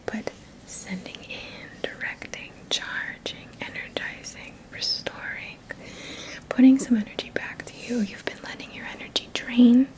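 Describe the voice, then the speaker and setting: Slow whispering woman, ASMR healing session. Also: fairly high pitch, fairly even intonation, a little mellow, low-quality recording. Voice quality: breathy, unvoiced whisper. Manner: slow, soothing, repeats words and phrases in a trailing murmur. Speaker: Reiki teacher and ASMR host.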